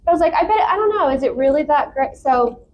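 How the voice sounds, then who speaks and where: High-pitched, excitable woman guest. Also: fast talker, highs cut off, a little hiss or hum. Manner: excitable, enthusiastic. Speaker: talk show guest. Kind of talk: casual interview chat.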